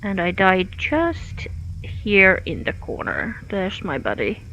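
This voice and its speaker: A woman's voice: mid-range voice, huge pitch swings, slow pace, pushed and bright, highs cut off, slight background noise. A woman from Finland with a Finnish accent, thinking aloud with many fillers while she streams a game. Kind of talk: live gameplay commentary.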